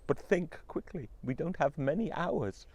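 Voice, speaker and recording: A storyteller voicing a wife enchanted into a rose, speaking urgently and pleading. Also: male voice, a little high, lively intonation, measured pace, slightly rough, full character voice. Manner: urgent, hushed.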